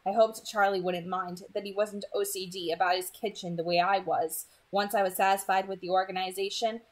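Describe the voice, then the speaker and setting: Woman reading a novel out loud, first person narration, medium pitched voice. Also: flat delivery, brisk.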